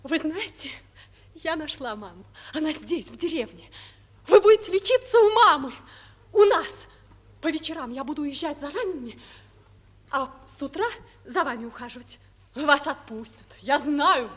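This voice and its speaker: An actress playing a devoted young nurse in love in a Soviet radio drama, soft and earnest, on an old noisy recording. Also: female voice, sits high, lively intonation, normal speed. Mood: eager, insistent.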